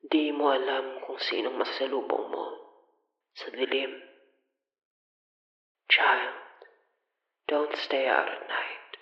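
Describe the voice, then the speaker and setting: A man acting a brooding figure in an audio horror drama, with a deliberate, dramatic delivery. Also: mid-range voice, steady tone, muffled recording, lightly acted. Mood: ominous warning. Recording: roomy, echoing sound.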